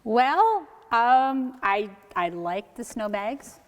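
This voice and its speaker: A woman's voice: fairly high pitch, very animated, extremely slow. A woman giving an informal, step-by-step demonstration as a sound effects technician. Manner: instructive, step-by-step explaining. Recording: echoey hall with clear background noise.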